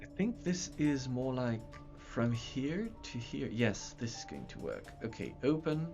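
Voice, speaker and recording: A man's voice: medium pitch, very animated, a little slow, creaky, darkish tone. A man hosting a livestream, chatting casually in a rambling way with stumbling restarts and repeated words. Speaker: livestream host showing map software. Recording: dull lo-fi sound with faint music.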